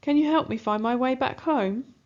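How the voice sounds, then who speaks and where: A woman's voice: high voice, moderate pace, darkish tone, muffled recording, pitched above the performer's own voice, lightly acted. A woman voicing a young, hungry, scared girl rat in a plaintive storybook voice. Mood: pleading, scared. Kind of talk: children's storybook character voice.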